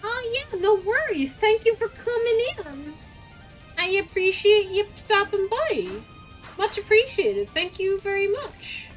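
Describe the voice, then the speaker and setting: High-voiced woman streamer, bubbly and giggly, talks slowly with big swoops in pitch, faint game music under her. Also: sing-song. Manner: bubbly, greets chatters, laughs often. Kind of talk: casual live-stream chat.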